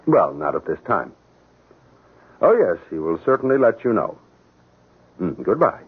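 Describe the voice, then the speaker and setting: An actor playing an uneasy executive accomplice in a 1970s radio drama, speaking in a formal, clipped, officious way. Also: male voice, low-pitched, very animated, a little slow, breathy edge, full character voice. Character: smooth-talking, uneasy executive accomplice and lover. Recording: muffled, phone-like old radio recording.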